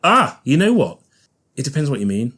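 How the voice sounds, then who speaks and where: A man's voice: medium pitch, very animated, moderate pace, fairly bright tone, slightly rough. A man with a Liverpool accent, a guest organist chatting casually on a podcast, often laughing and thinking aloud.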